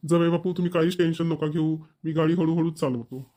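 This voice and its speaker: A man as fast-talking, courteous father-in-law. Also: a little high, steady tone, warm tone, lightly acted. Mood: obliging. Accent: Varhadi Marathi, Vidarbha. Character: courteous, accommodating middle-aged father-in-law. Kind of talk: cartoon family comedy dialogue.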